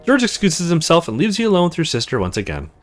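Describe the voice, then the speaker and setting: Expressive man narrating, pitch swinging widely. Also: fast, soft background music. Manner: expressive, acts out the dialogue. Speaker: let's player. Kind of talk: narrated visual novel playthrough, reading dialogue aloud with asides.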